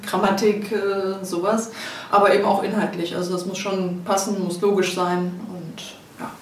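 A woman with a medium-pitched voice explains her work in a casual interview. She sits a little away from the microphone in an echoey room with faint noise. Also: level tone, normal speed, distant mic. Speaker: editor of a pulp novel series, interviewee. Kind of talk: casual spoken interview answers. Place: indoors, shared office. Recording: echoey room, off-mic, noisy.

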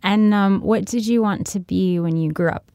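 A woman's voice: medium pitch, moderate pace, warm tone, no room sound. A woman hosting an interview podcast, asking friendly, curious questions. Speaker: podcast host. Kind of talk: interviewing, scripted narration.

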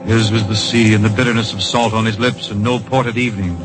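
An actor narrating a tragic radio drama in a solemn, poetic, storytelling manner. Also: male voice, fairly low pitch, fairly even intonation, fast talker, natural voice, poor audio. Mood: solemn, lyrical. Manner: lyrical, grave storytelling. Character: solemn, poetic male narrator of a patriotic tragedy. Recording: vintage radio recording with music behind.

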